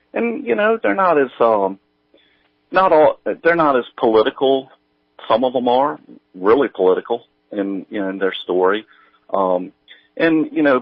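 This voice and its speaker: Halting low-voiced man, dull recording. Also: no echo. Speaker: guest, audio drama writer and producer. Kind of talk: casual interview chat.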